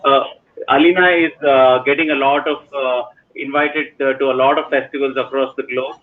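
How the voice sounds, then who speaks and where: A man's voice: mid-range voice, steady tone, moderate pace. A man from India moderating a film panel, often stammering as he starts his questions. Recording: tinny phone-like call line.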